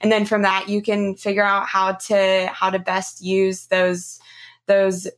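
A woman's voice: medium pitch, monotone, measured pace. A woman hosting a podcast in an informal, encouraging, conversational way, summing up ideas for listeners. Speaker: podcast host. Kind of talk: conversational interview wrap-up.

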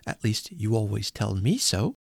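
A man's voice: fairly low pitch, very animated, normal speed, creaky, husky, no echo, studio quality, heavily acted. A man voicing an earnest, indignant young wife in a storybook reading. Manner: earnest, emphatic.

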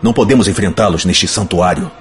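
A man's voice: low-pitched, expressive, quick pace, faint music behind, pitched above the performer's own voice. A man voicing an exiled angel rescuer, urgent and commanding, in a fantasy audiobook.

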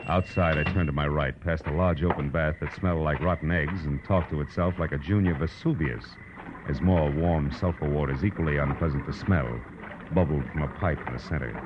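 An actor playing a hard-boiled, sardonic private detective with an American accent, in the style of a 1940s radio crime drama. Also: male voice, extremely low pitch, brisk, noisy background. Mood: sardonic narrating. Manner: wry, sardonic, tough. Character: wry, hard-boiled male private detective, worldly and flirtatious. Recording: dull old radio recording.

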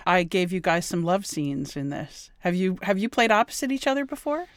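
A woman's voice: on the low side, sing-song, a little fast, dry recording, clean studio sound. A woman interviewing actors in casual conversation, as an audio drama creator.

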